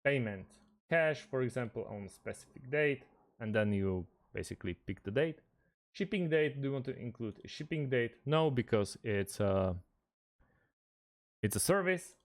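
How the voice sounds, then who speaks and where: A man with a medium-pitched voice presents an on-screen walkthrough as a tutorial host. He goes step by step in a halting way, often hesitating in the middle of a phrase. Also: expressive.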